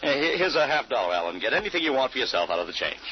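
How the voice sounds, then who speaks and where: A man's voice: medium pitch, very animated, rapid-fire, lightly acted. An actor playing a genial middle-aged small-town father in an old-time radio drama. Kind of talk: 1940s radio acting. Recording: muffled, hissy old radio recording.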